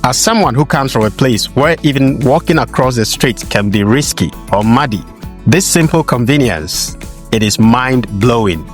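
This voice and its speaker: A man at middle pitch narrates a travel video from a script, steady and measured. Faint music plays underneath. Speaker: host, narrator.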